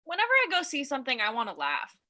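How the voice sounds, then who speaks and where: A woman's voice: high voice, huge pitch swings, fast talker, sharp tone, heavily acted. A woman playing a blunt, reluctant skeptic in a staged reading of a play. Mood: reluctant, skeptical.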